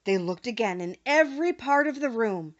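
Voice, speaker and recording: A woman narrating an audiobook of a children's story in an expressive, carefully phrased way. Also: a little high, moderate pace, highs cut off.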